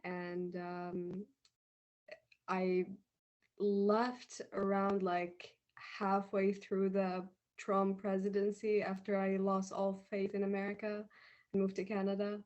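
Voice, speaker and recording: A woman from Yemen introducing herself on an online panel in a measured, plain way. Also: mid-range voice, completely flat delivery, darkish tone, dull audio. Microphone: video call.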